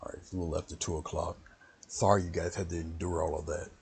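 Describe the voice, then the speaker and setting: A man with a very low, gravelly voice, his pitch sitting near the bottom of the range. He leads a relaxed painting stream, rambling between steps and greeting viewers one by one. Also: fast. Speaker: painting instructor. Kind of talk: casual livestream painting lesson.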